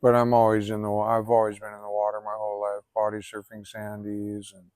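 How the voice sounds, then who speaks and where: Flat-toned man in his forties, outdoors. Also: fairly low pitch, brisk, clear, resonant tone, no room sound. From Hawaii, Oahu. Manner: relaxed, even-toned, filler-heavy. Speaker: guest, tattoo artist and swimmer. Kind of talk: casual outdoor interview. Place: outdoors, in the rain after a swim.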